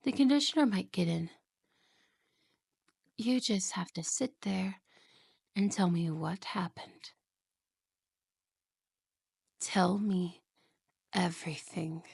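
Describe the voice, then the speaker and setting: A woman voicing a possessive, jealous young wife in an ASMR roleplay, talking softly and slowly in whispers, sweet on the surface and menacing underneath. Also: lowish voice, vocal fry, husky, no room sound, clean studio sound, heavily acted. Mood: quietly menacing. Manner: soft, slow, whispery, with giggles.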